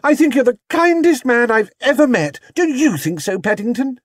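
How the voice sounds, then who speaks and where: A man's voice: extremely high pitch, big pitch swings, moderate pace, no echo, studio quality, pitched above the performer's own voice, full character voice. A man voicing an innocent, plucky young girl in a storybook, sweet but firm in manner. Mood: grateful.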